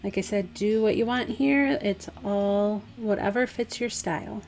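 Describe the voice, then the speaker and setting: A woman with a slightly hoarse, dry voice, casually talking through a DIY craft project step by step. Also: medium pitch, a little slow, faint music behind. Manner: relaxed, chatty, step-by-step instructions with fillers. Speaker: craft vlogger. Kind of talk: casual DIY tutorial voice-over.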